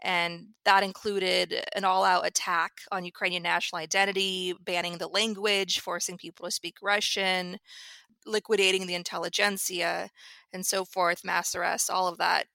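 Bright-voiced woman telling her story. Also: on the low side, flat delivery, normal speed, gravelly, no echo. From United States. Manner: earnest, storytelling. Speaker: screenwriter guest. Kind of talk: interview answer.

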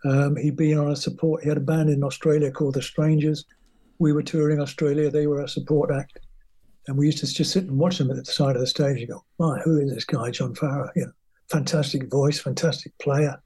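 A man's voice: fairly high pitch, little pitch movement, fairly quick, warm tone, breathy edge. An older man from England, a guest musician telling rambling, chatty stories about his career in an interview. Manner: rambling, chatty, acts out quoted voices. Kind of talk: anecdotal interview storytelling.

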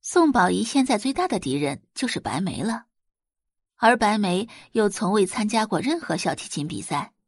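A woman's voice: medium pitch, husky, no echo, studio quality. A woman narrating a scripted audiobook drama in a storytelling style.

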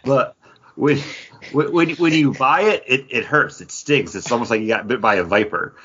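A man's voice: medium pitch, moderate pace, fairly bright tone, breathy edge, highs cut off. A man hosting a podcast in casual, chatty fan talk. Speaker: podcast host.

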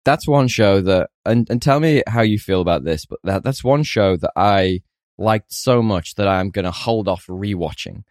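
A man's voice: on the low side, normal speed, a little mellow, no room sound, clean studio sound. A man co-hosting a podcast in casual chat, hungover and tired but jokey and teasing. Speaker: podcast co-host.

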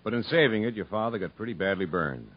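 A man's voice: lowish voice, animated, fast talker, breathy edge. An actor playing a storyteller recounting a family's past to a boy, in folksy 1950s radio drama narration. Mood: reflective. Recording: muffled 1950s radio broadcast with music.

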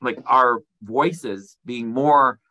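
A man co-hosting a podcast, speaking in a measured conversational way with fillers. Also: mid-range voice, bright, forceful tone, lo-fi sound. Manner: measured, with fillers like "you know". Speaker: podcast co-host.

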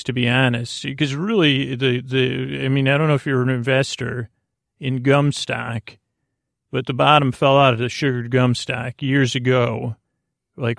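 A man with a creaky voice hosting a sleep podcast, rambling in a lulling, silly, meandering way with halting self-corrections. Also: mid-range voice, no echo, clean studio sound. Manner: lulling, soothing. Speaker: podcast host.

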